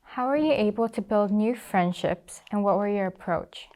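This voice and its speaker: A woman's voice: medium pitch, moderate pace, warm tone. Polite teenage girl asking questions. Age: teens. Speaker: student interviewer. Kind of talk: reading prepared interview questions.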